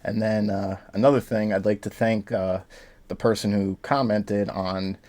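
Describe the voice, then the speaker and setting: Stuffed-up man with a low voice. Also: flat delivery, normal speed, darkish tone. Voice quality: slightly raspy. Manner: easygoing, rambling with frequent fillers. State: stuffed up and sniffly from allergies. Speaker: reaction video host. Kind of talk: casual chat to camera.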